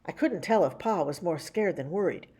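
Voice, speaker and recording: A woman reading a children's picture book aloud in an animated, folksy storytelling way. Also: lowish voice, normal speed, husky. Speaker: storytime reader.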